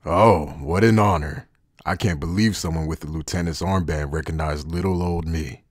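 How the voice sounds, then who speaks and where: A man playing a cynical, coolly defiant outcast in an anime fan dub, with a deep, husky, mocking delivery. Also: fairly even intonation, normal speed, dry recording, clean studio sound. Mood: mocking politeness. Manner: mocking, sardonic.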